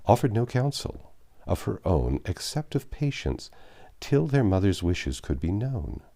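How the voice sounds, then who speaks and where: A man narrating a classic novel aloud in an expressive, measured way with dramatic phrasing. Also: low-pitched. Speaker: audiobook narrator. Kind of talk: expressive literary reading aloud.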